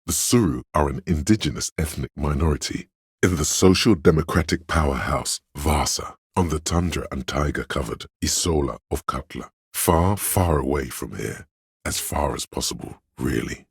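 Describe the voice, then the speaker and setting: Casting: pedantic inner narrator for a game, husky bass, erudite and pompous, measured delivery, close dry sound. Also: male voice, no echo, exaggerated voice. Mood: lecturing, matter-of-fact. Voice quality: very deep, gravelly, husky. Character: pedantic know-it-all inner voice, a walking encyclopedia of trivia.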